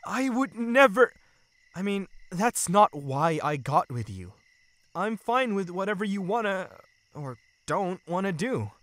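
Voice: mid-range voice, sing-song, measured pace, a bit bright, no room sound. An actor voicing a laid-back, caring teenage greaser boy from the 1950s in a comic dub, with a casual, easygoing manner. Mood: concerned, sincere.

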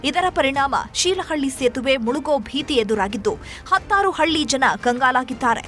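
Woman, high voice, emphatic scripted news voice-over, music underneath. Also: animated, normal speed. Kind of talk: scripted dramatic news narration. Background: music bed.

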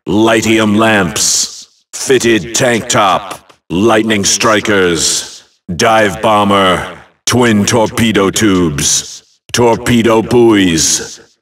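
An actor playing a theatrical video game battle announcer, calling out short phrases with deliberate emphasis. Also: male voice, on the low side, a bit bright, far from the mic, heavily acted. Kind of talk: announcing short names and match calls.

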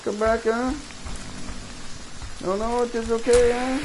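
A man's voice: very high-pitched, flat delivery, slow pace, noisy background, heavily acted. A man voicing a friendly male slasher in a mock French-Canadian accent, tagging every phrase with "huh?" or "eh?" during in-character game chat. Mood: playfully friendly. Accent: mock French-Canadian, put on. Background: loud game music.

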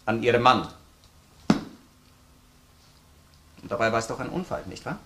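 A man's voice: mid-range voice, animated, pushed and bright, a little hiss or hum. A man with an Austrian accent playing a relentless, sardonic police detective who questions a suspect insistently in a crime radio play. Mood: coldly sardonic. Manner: probing, insistent, sardonic, deliberate phrasing. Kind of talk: German-language crime radio play acting.

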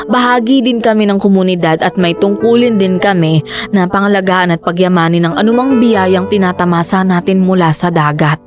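A woman's voice: medium pitch, moderate pace, over music, close to an everyday voice. Actress as an eager Filipino fisherman's wife. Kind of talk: radio drama dialogue.

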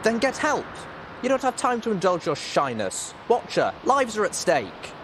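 A man's voice: high voice, wildly swooping pitch, moderate pace, a bit bright, a little hiss or hum, voice pushed up, full character voice, low-quality recording. A man voicing a plucky young mare pony, urgent and bossy, in a storybook reading. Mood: urgent, cross.

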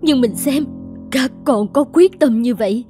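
A woman plays a gentle middle-aged cartoon mother, full of worry. Her high voice is soft-edged and mellow, pleading earnestly over light background music. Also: measured pace. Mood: pleading, anxious. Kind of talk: animated cartoon drama dialogue. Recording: music behind the voice.

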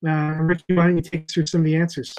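Higher-voiced man chatting casually. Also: little pitch movement, fast, a little mellow. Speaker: show co-host at a comic shop. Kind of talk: casual video show chat. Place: small room at home, indoors. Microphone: wired earphones on a video call.

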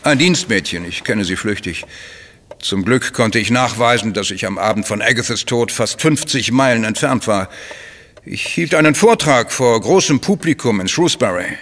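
A man's voice: lowish voice, animated, moderate pace, fairly bright tone. A man playing a suave, self-important crime writer in a German radio drama, eloquent and effusively polite. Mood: subdued. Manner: pompous, florid, eloquent.

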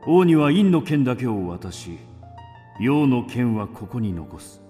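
A man's voice: mid-range voice, sing-song, measured pace, mellow. An actor playing a grim, resolute man of ancient China in a radio drama, stern and commanding. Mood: solemn. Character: grim, resolute man: doomed swordsmith and mysterious avenger. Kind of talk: theatrical radio drama acting. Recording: music behind the voice.

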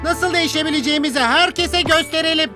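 A woman's voice: sits high, quick pace, music in the background, natural voice. A woman voicing an earnest, helpful young car in a children's cartoon dub, sincere and keen. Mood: earnest, eager.

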